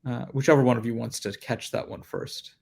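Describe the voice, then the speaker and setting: A man moderating a panel discussion, thinking aloud in reflective, articulate and deliberate phrases. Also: medium pitch, steady tone, gravelly, a little mellow. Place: live panel discussion at a festival.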